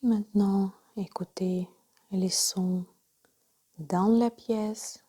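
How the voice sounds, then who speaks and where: A woman leading a guided yoga nidra relaxation as instructor, speaking softly and breathily, often near a whisper, in calm, measured short phrases. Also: mid-range voice, breathy edge.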